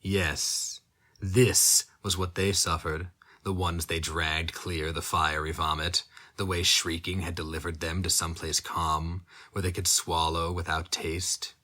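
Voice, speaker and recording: A man giving a grave, dramatic audiobook reading of a dark fantasy story. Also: low voice, little pitch movement, a little slow. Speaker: narrator.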